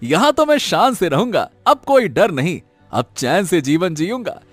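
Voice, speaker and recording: A man playing an excitable, greedy male jackal in an animated moral story, exclaiming and repeating his words. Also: high voice, normal speed, no echo, faint music behind. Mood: greedy delight.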